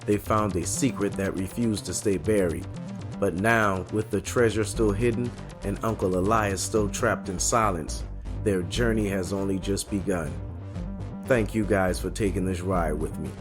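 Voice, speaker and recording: A man hosting a story show, closing the episode in a slow, dramatic, reflective way. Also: low voice, steady tone, music in the background. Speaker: host. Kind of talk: closing monologue.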